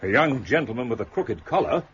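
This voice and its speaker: An actor playing a fussy, eccentric gentleman of reduced means in a period radio drama, brusque and precise with formal diction. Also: mid-range voice, expressive, brisk, dull audio, lightly acted.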